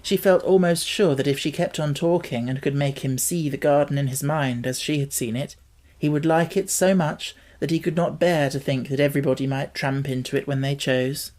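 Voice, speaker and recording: Female narrator, slightly low pitch, scripted storybook reading. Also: moderate pace, darkish tone. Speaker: audiobook narrator.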